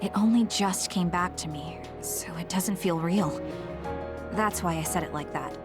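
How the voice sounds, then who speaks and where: An actress playing a composed, blunt young soldier in an English game dub, with a measured, matter-of-fact delivery. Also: female voice, fairly low pitch, music in the background, natural voice. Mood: calm, frank.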